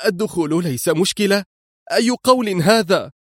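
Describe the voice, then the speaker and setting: A man voicing an anxious, eager young woman in a spoken story, exclaiming excitedly. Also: fairly high pitch, brisk, no echo, clean studio sound, pitched above the performer's own voice, close to an everyday voice. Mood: alarmed.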